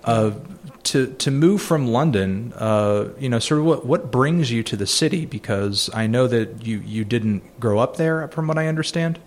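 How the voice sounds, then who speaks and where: A man's voice: mid-range voice, animated, normal speed, few pauses, darkish tone. A man hosting a podcast, asking questions hesitantly with many repeats and fillers. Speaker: podcast host, interviewer. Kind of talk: casual interview conversation.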